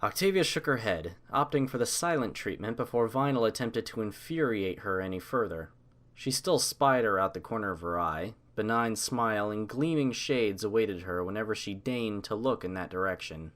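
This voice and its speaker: A man's voice: lowish voice, lively intonation, normal speed, a bit bright. A man reading scripted story narration.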